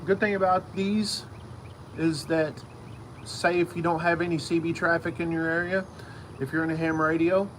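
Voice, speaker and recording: A middle-aged man with a fairly high-set voice explains his hobby in an off-the-cuff, casual way. He speaks haltingly, repeating phrases and circling back. He is recorded outdoors, with faint insect and vehicle noise behind him. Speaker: radio hobbyist video host. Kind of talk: casual, unscripted explainer vlog. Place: outdoors in a yard.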